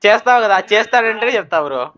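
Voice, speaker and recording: A man's voice: high voice, expressive, fast, sharp tone, muffled recording. A man chatting casually and coaxingly as a prank host, mixing in English words. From Kadapa, Andhra Pradesh, India. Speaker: YouTuber hosting a prank on a video chat. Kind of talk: casual banter, giving dares.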